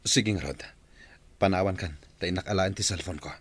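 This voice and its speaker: A man's voice: low voice, moderate pace, slightly rough. A man playing a guilty, worried unfaithful husband who pleads, in an Ilocano radio drama.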